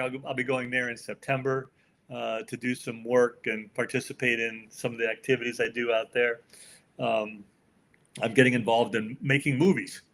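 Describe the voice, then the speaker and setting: A man's voice: mid-range voice, a bit bright. An older man with an American accent, giving folksy, opinionated answers as a guest in a conversational interview. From United States. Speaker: podcast guest, author.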